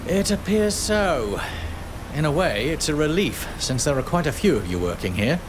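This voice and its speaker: An actor playing a gruff, suspicious foreman with a curt, dismissive manner in a video game. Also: on the high side, big pitch swings, moderate pace, noisy background. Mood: grudging acceptance. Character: gruff, sceptical male foreman suspicious of natives. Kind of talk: video game character acting.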